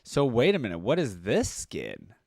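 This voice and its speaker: A man's voice: mid-range voice, huge pitch swings, moderate pace, vocal fry, no room sound, clean studio sound, faint music behind. A man hosting a reaction video with casual commentary, rambling as he thinks aloud.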